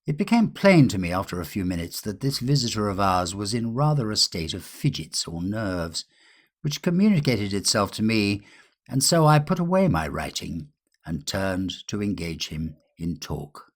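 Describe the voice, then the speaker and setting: A man reading a literary ghost story in a measured, expressive way with dramatic pauses. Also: on the low side. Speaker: audiobook reader.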